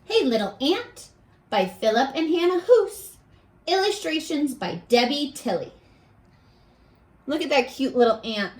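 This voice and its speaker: A woman teacher leading a read-aloud lesson for young children in an animated way, asking questions and pausing for answers. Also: sits high. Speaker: primary school teacher.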